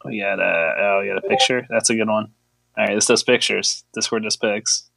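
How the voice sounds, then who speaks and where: A man's voice: on the low side, fairly even intonation, brisk, gravelly, pushed and bright, dry recording. A man co-hosting a podcast in casual chat, joking, teasing and rambling as he goes. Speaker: podcast co-host.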